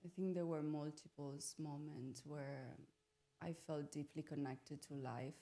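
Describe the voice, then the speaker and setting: A woman's voice: low voice, steady tone, measured pace, creaky, dark tone, soft background music. A young woman from Italy talking casually and reflectively as a podcast guest, informal and often searching for her words. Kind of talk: casual personal reflection on living abroad. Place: podcast studio.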